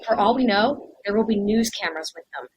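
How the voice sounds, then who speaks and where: An actress playing a concerned teacher in an audio drama, speaking in an urgent, businesslike way. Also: female voice, medium pitch, animated, a little fast, slight background noise, lightly acted, low-quality recording. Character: concerned, urgent female teacher opening a staff meeting.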